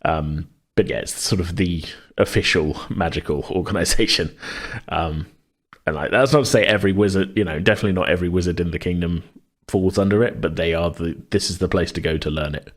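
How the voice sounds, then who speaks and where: A man co-hosting a casual worldbuilding chat, hesitant and rambling as he thinks out loud. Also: low-pitched, brisk. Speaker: podcast co-host.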